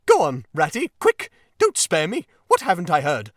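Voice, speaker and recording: A man voicing a boastful, excitable toad gentleman from a storybook, in a gushing, plummy, melodramatic manner. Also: high voice, normal speed, no room sound, clean studio sound, voice pushed up. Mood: alarmed. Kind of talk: audiobook character acting.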